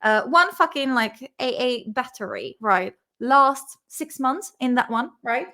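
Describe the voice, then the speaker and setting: Bright-voiced Czech woman vlogging. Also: high-pitched, animated. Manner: chatty, swears freely, laughs often; sounds words out slowly and repeats them. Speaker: vlog host. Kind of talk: casual study-along vocabulary vlog.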